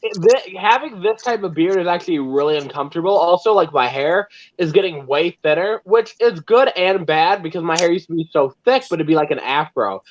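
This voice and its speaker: A young man in casual livestream chat, excitable and rambling. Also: a little high, brisk, hardly any pauses. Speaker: livestream co-host. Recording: dull dry mic.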